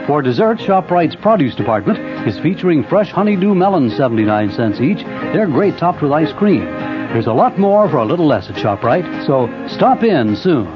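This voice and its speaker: A man's voice: on the high side, sing-song, normal speed. A man reading a scripted 1970s supermarket commercial as a radio announcer. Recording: dull 1970s radio spot, music behind.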